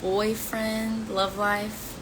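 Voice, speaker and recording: A woman's voice: mid-range voice, little pitch movement, drawn-out words. A woman in her twenties chatting casually and teasing while she answers fan questions on a live stream, with playful rhymes. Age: 20s. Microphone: phone. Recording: audio from a phone live stream with background noise.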